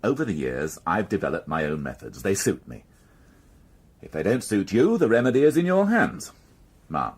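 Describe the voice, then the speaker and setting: An actor playing a stubborn, middle-aged veteran police inspector in a radio drama, dry, grumbling and sardonic. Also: male voice, low-pitched, sing-song, normal speed, breathy edge, exaggerated voice. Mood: indignant, firm. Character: world-weary, stubborn veteran male police inspector, dryly sarcastic.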